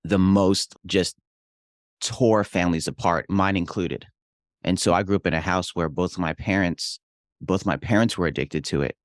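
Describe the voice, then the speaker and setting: A man's voice: low voice, moderate pace, dry recording, clean studio sound. A young adult man from Hawaii, a real estate investor and pastor, telling his life story in an earnest, reflective way. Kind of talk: casual interview storytelling.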